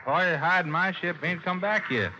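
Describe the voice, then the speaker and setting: An actor playing a cagey boat-rental skipper with clipped, folksy speech in an old-time radio drama. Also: male voice, high-pitched, moderate pace, lightly acted. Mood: cagey, offhand. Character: cagey boat-rental skipper, male, tight-lipped about customers. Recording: dull vintage radio recording.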